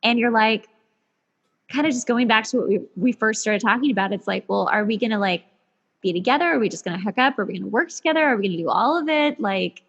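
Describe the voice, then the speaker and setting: Higher-pitched, self-mocking woman in casual chat. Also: animated, quick pace, a bit bright, muffled recording. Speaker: podcast guest.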